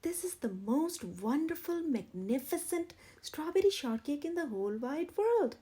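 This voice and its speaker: Bossy storybook rooster chef played by a woman, high, emphatic, highly animated, easily exasperated. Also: unhurried. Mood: proud, reassuring. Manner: emphatic, instructive. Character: exasperated, commanding male rooster chef, storybook team leader.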